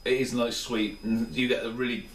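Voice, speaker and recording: A man's voice: mid-range voice, steady tone, moderate pace, distant mic. Tired, chatty man, roomy kitchen. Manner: chatty, rambling, enthusiastic. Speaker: beer reviewer. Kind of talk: casual solo review. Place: small home kitchen, indoors. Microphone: camera mic at a few steps. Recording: roomy, off-mic home camera sound.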